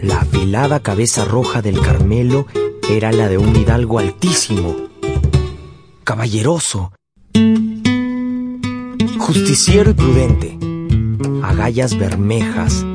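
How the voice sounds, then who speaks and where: Nostalgic narrator, low voice, lyrical literary storytelling, guitar music behind. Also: male voice. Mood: solemn, admiring. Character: nostalgic grown man recounting his family's past.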